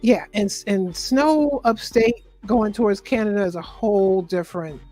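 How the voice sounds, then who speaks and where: A woman's voice: medium pitch, normal speed, faint music behind. A woman, a guest telling stories in a casual chat. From Queens, New York. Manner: chatty, warm affirmations, storytelling. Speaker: guest, wellness coach and poet.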